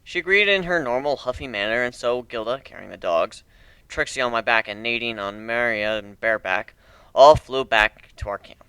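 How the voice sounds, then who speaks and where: A man's voice: mid-range voice, normal speed, bright, forceful tone, clear, resonant tone, no echo. A man narrating a first-person fantasy story as a dramatic reading.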